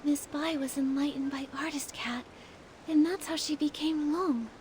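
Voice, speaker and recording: A woman's voice: high voice, fairly even intonation, moderate pace, a little mellow, a little hiss or hum, lightly acted. A woman voicing a curious, eager young female listener in a video game. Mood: soft, wondering. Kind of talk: English video game voice acting.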